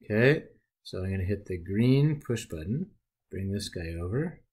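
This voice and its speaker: A man with a fairly low voice narrates a software tutorial over a screen recording. He talks in a relaxed, folksy way, describing each click and drag as he goes. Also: normal speed, slightly rough.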